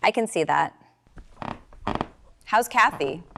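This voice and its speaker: An actress playing a friendly, gossipy, affectionate shopkeeper in naturalistic audio drama dialogue, with a playful, drawling manner. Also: female voice, medium pitch, animated, slow, vocal fry, noisy background. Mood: affectionate teasing. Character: friendly, teasing small-town shopkeeper woman, gossipy and affectionate.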